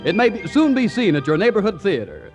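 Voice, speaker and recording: A man's voice: sits high, big pitch swings, a little fast, music in the background. A man reading scripted announcements in 1940s radio style on an old broadcast recording.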